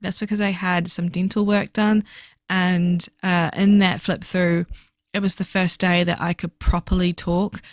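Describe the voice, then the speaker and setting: A woman recording a casual voiceover for her reading journal video, chatty and fast-talking with lots of fillers, her voice slightly hoarse. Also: lowish voice, level tone, dry recording. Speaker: book vlogger.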